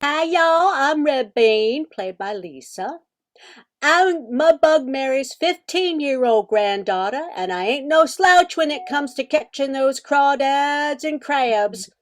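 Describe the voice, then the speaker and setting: A woman's voice: high voice, expressive, slow. An older woman playing a plucky fifteen-year-old bayou girl in an amateur radio play. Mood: chirpy introduction. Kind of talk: amateur radio drama reading.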